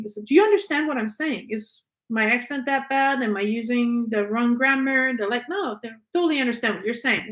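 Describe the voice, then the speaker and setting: Woman, french first language, chatty and candid, kinda high voice, lots of ums. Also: a bit bright. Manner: candid, conversational, frequent fillers and restarts. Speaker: podcast host. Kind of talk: personal storytelling.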